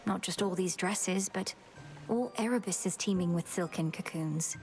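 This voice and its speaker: A woman's voice: fairly low pitch, normal speed, darkish tone, close to an everyday voice. An actress playing a dutiful, determined young witch princess in a fantasy game, speaking formally and earnestly. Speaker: actor voicing a game character. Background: game music.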